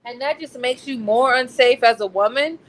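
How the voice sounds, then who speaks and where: An American woman chatting casually as a book discussion co-host, candid and slangy in her animated storytelling. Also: on the high side, normal speed, rough recording. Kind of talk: casual chat. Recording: noticeable background noise.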